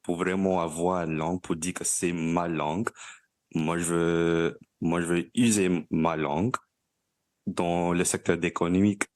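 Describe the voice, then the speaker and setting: A young man with a Louisiana French accent, giving easygoing interview answers and pausing to search for words. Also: sits low, little pitch movement. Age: young adult. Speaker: guest, language activist.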